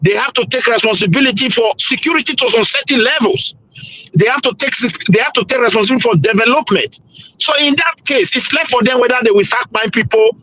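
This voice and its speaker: A man's voice: very high voice, quick pace, sharp tone, low-quality recording. A Nigerian man with a Nigerian accent in a heated panel debate, insistent, talking over others with stammering restarts.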